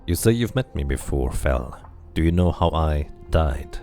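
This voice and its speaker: A man voicing a scarred amnesiac immortal hero who asks calm, plain questions. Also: very deep voice, a little slow, soft background music, voice pushed down. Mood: curious.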